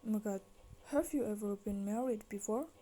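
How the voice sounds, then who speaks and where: A woman's voice: medium pitch, moderate pace, mellow, natural voice. A woman voicing a formal, earnest royal minister who gives advice in a children's puppet-show story. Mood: questioning, formal.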